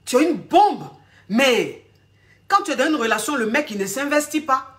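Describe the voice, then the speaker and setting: A woman with a fairly high voice gives relationship advice in a lively vlog. She has a cold and keeps repeating herself, warmly calling her listener darling. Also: moderate pace. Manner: animated, repetitive, calls the listener "ma chérie". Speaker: relationship coach. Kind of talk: casual advice vlog talk.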